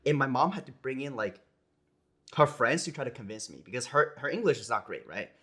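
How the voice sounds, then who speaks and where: Bright-voiced young American man chatting. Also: medium pitch, brisk, breathy edge. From United States. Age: young adult. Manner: relaxed, rambling, many "like"s and "you know"s. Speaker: esports player guest. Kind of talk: casual interview chat.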